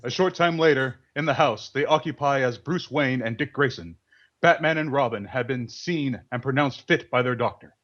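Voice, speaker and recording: A man's voice: mid-range voice, moderate pace, fairly bright tone. A man reading scripted narration for an old-time radio drama over an internet call. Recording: dull lo-fi internet call audio.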